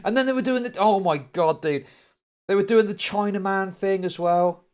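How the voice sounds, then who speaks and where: A middle-aged man with a British accent hosting a livestream, chatting casually and telling stories in a rambling, sweary, animated way. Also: sits high, normal speed. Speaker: livestream host. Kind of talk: casual chat to a livestream audience.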